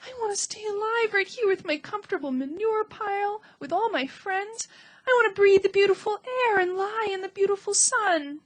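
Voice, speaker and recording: Woman doing a young male pig, very high, shrill, sobbing, panicky wailing, swooping pitch. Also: big pitch swings, moderate pace, hardly any pauses, fairly bright tone, breathy edge, exaggerated voice. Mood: panicked, sobbing.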